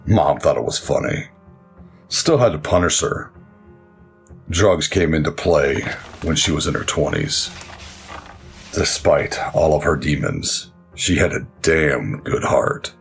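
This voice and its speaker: A grim haunted big brother, super low bass voice, crime audio drama, music faintly behind. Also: male voice, animated, slow, vocal fry, long pauses, full character voice. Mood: fond, mournful. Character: somber, guilt-ridden big brother and military veteran.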